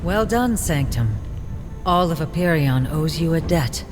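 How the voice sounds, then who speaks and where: A man's voice: a little high, expressive, unhurried. A man playing a resolute, noble warrior hero in a fantasy video game, with terse, commanding delivery. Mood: approving, noble. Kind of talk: scripted video game cutscene dialogue. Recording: long hall reverb, loud game music behind.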